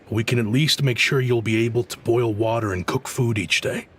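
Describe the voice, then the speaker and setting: A man voicing a diplomatic, conciliatory town official in a video game, speaking in measured, soothing speeches. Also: medium pitch, fairly even intonation, fairly bright tone, husky. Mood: reassuring.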